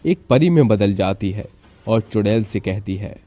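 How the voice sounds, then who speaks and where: Male storybook narrator over soft music. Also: lowish voice, lively intonation, moderate pace.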